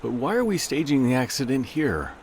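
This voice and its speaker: A man's voice: mid-range voice, big pitch swings, fairly quick, a little mellow, slight background noise. A man playing an anxious, guilt-ridden man of God in an audio drama, hesitant and stammering. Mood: reluctant, uneasy.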